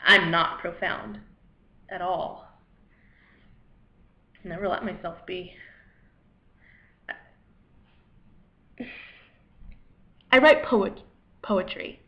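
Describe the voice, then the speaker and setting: A woman acting a dramatic monologue as a weary, self-deprecating failed poet, anxious and rambling, in a halting, wry, conversational way. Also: a little high. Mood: frustrated, wounded. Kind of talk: dramatic monologue, cold read. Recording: echoey room, dull lo-fi microphone.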